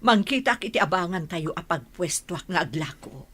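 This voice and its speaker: An anxious village mother in a radio serial, with an Ilocano accent. Her voice is bright and forceful yet raspy, motherly in manner. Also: lowish voice, lively intonation, normal speed, heavily acted. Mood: hopeful, prayerful. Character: worried, devout village mother. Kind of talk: radio drama acting.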